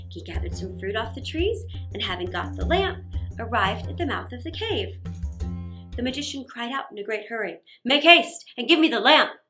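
A middle-aged woman reading a children's story aloud in a theatrical, expressive narrating style. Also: on the high side. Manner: theatrical, expressive, with gasps and sound words.

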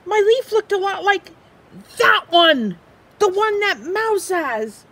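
A woman voicing an excitable, easily panicked squirrel in a melodramatic, exclamatory children's storybook read-aloud. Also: very high-pitched, slow pace, voice pushed up, full character voice. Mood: panicked, accusing.

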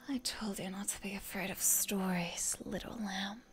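A woman playing a seductive, predatory female vampire in a whispered roleplay ASMR, teasing and deliberate in a breathy voice. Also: medium pitch, fairly even intonation, lightly acted. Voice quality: breathy whisper with heavy creak.

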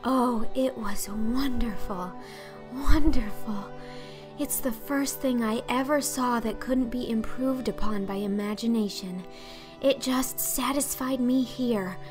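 A woman's voice: a little high, takes it slowly, music in the background, voice pushed up. A woman voicing a dreamy, talkative, imaginative orphan girl in an audiobook, breathless and earnest. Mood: awed, hushed, then enraptured, chatty.

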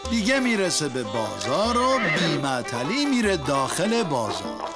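Proud, very animated cartoon master. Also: male voice, on the high side. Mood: suspenseful bragging. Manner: emphatic, suspenseful storytelling. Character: proud, confident master bragging about his servant. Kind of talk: comic cartoon acting. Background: music.

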